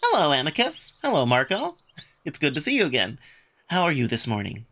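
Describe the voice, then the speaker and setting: Man voicing a high-pitched, polite cat man. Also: wildly swooping pitch, fairly quick, pushed and bright, a little hiss or hum, full character voice. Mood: friendly, polite. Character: Alex, polite, deferential, friendly alien cat man. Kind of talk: visual novel character dub.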